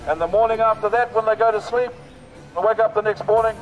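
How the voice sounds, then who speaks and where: Hoarse New man on a megaphone. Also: sits high, fairly even intonation, fast talker, narrow, tinny audio, over music. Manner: rousing, repetitive, with fillers. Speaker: protest march speaker. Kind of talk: outdoor rally speech through a loudspeaker. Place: outdoors on a city street.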